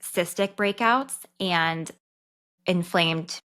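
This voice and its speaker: Woman in her 30s, bright lowish voice, chatty and candid podcast talk. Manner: candid, chatty, fast-talking. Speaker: podcast host and dietitian. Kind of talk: casual personal monologue.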